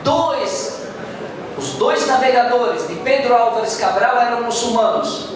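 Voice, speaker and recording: A man giving a slow, emphatic public address to a congress audience, calling for their attention. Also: very high-pitched. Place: large hall with audience. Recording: echoey hall, far from mic, crowd behind.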